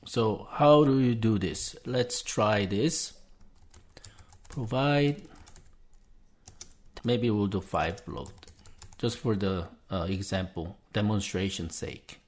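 A man's voice: lowish voice, slow, long pauses, darkish tone. A man whose first language is Korean, hosting a podcast and talking viewers through a casual step-by-step tutorial, narrating each click as he goes. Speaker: podcast host. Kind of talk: casual screen-share tutorial.

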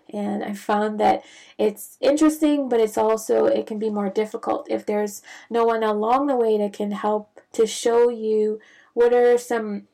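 Woman from the Bahamas, young adult, physician, reflective storytelling, some vocal fry, mellow tone. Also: a little high. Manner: reflective, measured, with frequent fillers. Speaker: physician sharing her career story. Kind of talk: casual personal storytelling.

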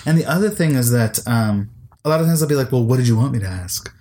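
Guy cohosting a podcast, laid back, trips over his words a lot, bit of hiss. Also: mid-range voice. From United States. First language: English, second language Icelandic. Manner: relaxed, halting, frequent restarts. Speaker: podcast co-host. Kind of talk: casual conversation.

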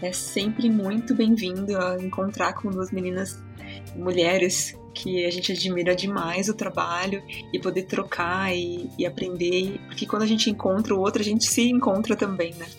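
A middle-aged woman from São Paulo state, Brazil, talking as a podcast guest in a reflective, warm way, full of filler words and restarts. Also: mid-range voice, fairly even intonation, normal speed, soft background music. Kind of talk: casual conversational interview.

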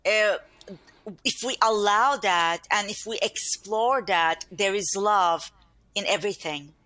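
A woman's voice: medium pitch, takes it slowly, pushed and bright, dry recording. A middle-aged woman with a Turkish accent, speaking earnestly as an interview guest and often laughing as she tells her story. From Turkey. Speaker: guest, stress mastery educator. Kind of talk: podcast interview chat.

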